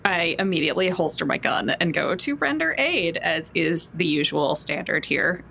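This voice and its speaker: Creaky-voiced woman playing a tabletop game. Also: on the low side, big pitch swings, normal speed, bright, forceful tone, a little hiss or hum. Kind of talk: casual game-table chat.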